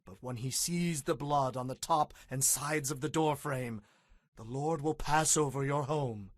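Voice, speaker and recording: A man's voice: medium pitch, unhurried, dry recording, natural voice. An actor playing an earnest, commanding male leader of an ancient people, giving solemn, emphatic instructions in a dramatized scripture reading. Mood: solemn, instructing.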